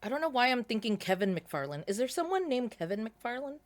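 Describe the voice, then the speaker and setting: A woman's voice: fairly high pitch, sing-song, a little fast. A woman co-hosting a casual podcast, asking curious questions and trailing off as she thinks. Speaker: podcast co-host. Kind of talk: casual chat.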